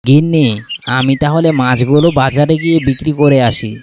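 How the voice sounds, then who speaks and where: A man's voice: on the high side, brisk, heavy background noise, close to an everyday voice. A man playing a humble, affectionate village farmer. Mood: businesslike.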